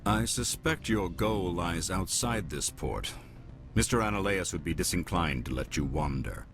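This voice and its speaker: An actor playing a shrewd, formal alien businessman in a video game, speaking in measured, precise phrases. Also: low-pitched, expressive. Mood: calm, businesslike. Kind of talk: scripted video game dialogue. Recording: music behind the voice.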